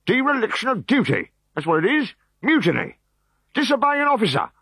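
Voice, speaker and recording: A man's voice: high voice, big pitch swings, moderate pace, vocal fry, husky, no echo, clean studio sound, pitched above the performer's own voice, exaggerated voice. A man voicing a blustering, bossy Roman officer in a storybook reading. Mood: furious. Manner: shouting, exasperated.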